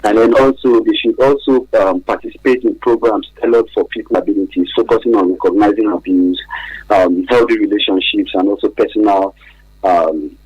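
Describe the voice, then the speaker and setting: Nigerian male expert guest for radio interview: low, husky, hesitant delivery, dry studio sound. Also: darkish tone, dry recording, dull audio. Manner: hesitant, frequent pauses and repeated "right?" tags. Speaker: guest expert from an advocacy organization. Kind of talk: radio interview, explanatory advice.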